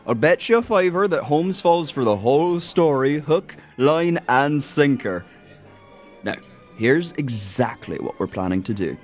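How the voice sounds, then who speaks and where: A man plays a mischievous plotter in an audio drama. He is breezy and persuasive, with a fairly high, bouncing voice and music behind him. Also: expressive, a little slow. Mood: conspiratorial. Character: jovial, mischievous male prankster, a doctor's acquaintance. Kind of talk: audio theatre acting.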